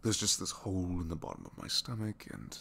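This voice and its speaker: Man performing reluctant supervillain, deep gravelly voice, shy and lovestruck, halting soft confessions, breaking into whispers, ASMR audio roleplay. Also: lively intonation, slight background noise, heavily acted. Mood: shy, wistful. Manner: halting, self-deprecating, soft confiding delivery slipping into whispers. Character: captured young male supervillain, reluctantly evil, bashful and lovestruck.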